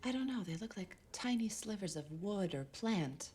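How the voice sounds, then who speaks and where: An actress playing a sharp, composed private detective, dry and matter-of-fact, in a period drama. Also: female voice, mid-range voice, lively intonation, moderate pace, lightly acted. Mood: businesslike. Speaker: actor.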